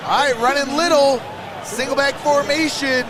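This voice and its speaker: A man's voice: very high voice, steady tone, normal speed, crowd noise, low-quality recording. A man hosting a sports livestream, calling plays and reading stats in short, clipped phrases. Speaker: livestream host doing play-by-play fan reaction. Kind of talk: live sports commentary, reading stats and chat.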